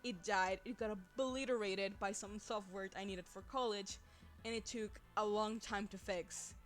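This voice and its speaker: A woman's voice: medium pitch, fairly even intonation, unhurried. Young woman streamer chatting over faint music. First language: Spanish. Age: young adult. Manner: chatty, rambling, laughs often. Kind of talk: casual livestream chat. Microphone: desk microphone.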